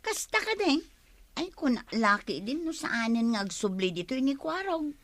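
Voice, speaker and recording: A woman's voice: high-pitched, big pitch swings, normal speed, no room sound. A woman with an Ilocano accent acting an inquisitive, doubting village woman in a radio comedy-drama. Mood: curious, sceptical.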